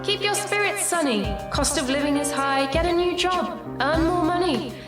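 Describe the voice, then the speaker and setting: A woman's voice: very high-pitched, lively intonation, normal speed, recorded from a distance. A woman acting in a radio play as an ambitious, guarded political candidate who performs rhyming verse. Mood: upbeat, persuasive. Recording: roomy echo, music behind.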